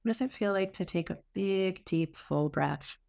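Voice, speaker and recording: A woman teaching meditation, giving gentle, soothing spoken instruction with unforced phrasing and long pauses. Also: fairly low pitch, creaky.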